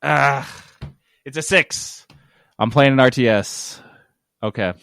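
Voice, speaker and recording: A man's voice: medium pitch, slow, gravelly, fairly bright tone, smooth, no echo. A man chatting casually as a gaming podcast host, with loose, irreverent, sweary talk. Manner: profane, irreverent.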